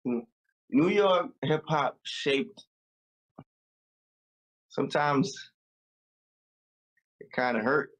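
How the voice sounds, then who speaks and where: A man's voice: a little high, animated, drawn-out words, long pauses, a bit bright, rough recording. A young man born in Jamaica and raised in America, a musician guest talking over a video call in a loose, reflective way.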